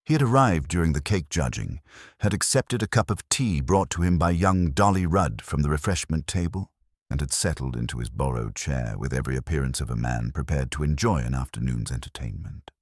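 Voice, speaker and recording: A man narrates a period mystery in an extremely low, husky voice. His delivery is measured and formal with a wry edge, and his pitch moves about freely within that deep register. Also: animated, dry recording, studio quality.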